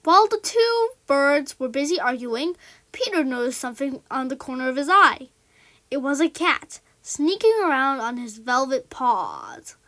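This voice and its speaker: High-voiced woman slowly narrating a children's tale. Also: lively intonation, pushed and bright. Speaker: narrator and storyteller. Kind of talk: scripted children's story narration. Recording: music under the voice.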